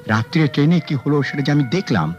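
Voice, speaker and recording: An actor playing a sly, scheming book collector who mocks and dodges a detective's questions. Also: male voice, on the high side, lively intonation, fairly quick, a little mellow, slight background noise, natural voice. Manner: mocking, evasive, sly. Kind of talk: 1970s radio drama acting. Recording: dull old radio recording, faint music.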